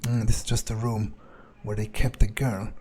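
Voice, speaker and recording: A man playing a wary vampire in a video game, thinking aloud in a halting, muttering way. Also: on the low side, little pitch movement, dark tone, slightly rough. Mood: musing, wary. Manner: halting, musing, muttering with hums. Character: wary male vampire muttering his thoughts while exploring. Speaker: gameplay streamer playing a character. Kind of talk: in-character roleplay commentary, thinking aloud.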